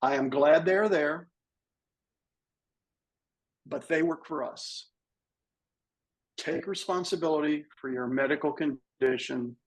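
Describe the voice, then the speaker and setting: Husky 60s guy sharing his story, earnest, giving advice straight to the listener. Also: fairly high pitch, rough recording. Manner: earnest, advising listeners directly. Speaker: patient panelist. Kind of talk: sharing a personal story on a video panel.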